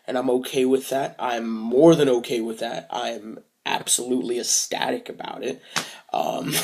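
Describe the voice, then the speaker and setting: A young man recording a casual video diary, speaking hesitantly with many fillers and restarts, soft and reflective. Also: mid-range voice, vocal fry. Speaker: vlogger.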